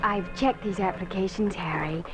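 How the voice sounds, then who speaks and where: Cheeky office clerk played by an actress. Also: female voice, medium pitch, normal speed, music in the background, natural voice, rough recording. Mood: casual. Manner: casual, cheeky. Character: flippant, unbothered female office clerk. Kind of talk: old-time radio drama acting.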